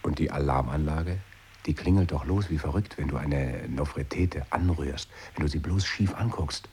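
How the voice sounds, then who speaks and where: A man's voice: rumbling low, normal speed, a little mellow, slight background noise. An actor playing a sceptical, grumbling man who questions his pal in colloquial, incredulous radio play dialogue.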